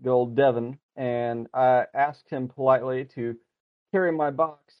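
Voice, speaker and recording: A man of middling pitch guests on a casual podcast, speaking softly and hesitantly with frequent laughs. He comes through a remote call that sounds dull and lo-fi, with no room sound at all. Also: fairly even intonation, measured pace, no echo. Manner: soft-spoken, hesitant, laughs often. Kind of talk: casual chat. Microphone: headset over internet call. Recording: dry, dull lo-fi internet call audio.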